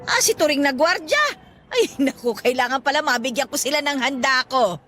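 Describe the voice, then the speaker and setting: A woman playing a warm, forgetful elderly grandmother in a Filipino radio drama, exclaiming and chuckling as she fusses. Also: sits high, lively intonation, normal speed, a little hiss or hum. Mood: touched. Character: warm, teary, forgetful elderly grandmother who runs a store.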